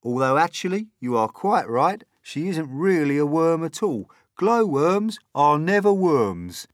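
A shrill shouting grumpy centipede. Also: male voice, sing-song, a little slow, dry recording, studio quality. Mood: lecturing, impatient. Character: loud grumpy male centipede, bossy.

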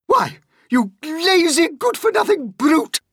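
A shrieking, bony old aunt full of spite, as a storyteller puts her on. The voice leaps far up into a shrill, strained screech and swoops wildly. It is recorded close and dry with no room sound. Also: wildly swooping pitch, slow pace, dry recording, exaggerated voice. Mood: shrill scorn.

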